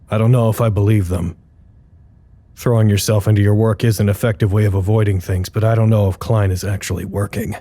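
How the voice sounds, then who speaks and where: An actor as a troubled, weary man in an audio drama, with a fairly low voice. He thinks aloud in a measured, sceptical way, dry and brooding. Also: warm tone, natural voice.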